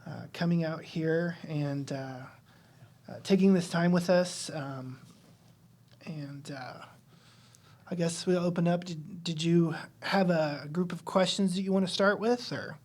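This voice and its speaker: A woman's voice: on the low side, measured pace, a little mellow. A woman co-hosting a podcast who welcomes the guest in a hesitant way, with lots of fillers. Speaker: podcast co-host. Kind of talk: casual welcome.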